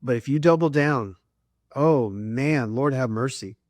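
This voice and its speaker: Man, spiritual co-host, earnest and preachy, mid pitch, dry close sound. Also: measured pace, a little mellow, no echo. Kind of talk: spiritual talk.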